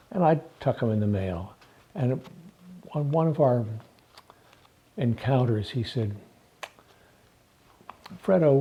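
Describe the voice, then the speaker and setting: An elderly American man in his eighties or older with a husky, aged voice, reminiscing in an interview and telling anecdotes with long pauses between phrases. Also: fairly low pitch, mellow. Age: 80s or older. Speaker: interviewee, veteran photographer. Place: small indoor room with framed photographs.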